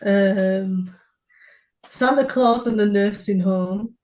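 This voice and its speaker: A woman's voice: mid-range voice, slow pace, darkish tone. Lady co-host reading out her own little stories, mid-range voice, informal. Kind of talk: story reading and informal chat.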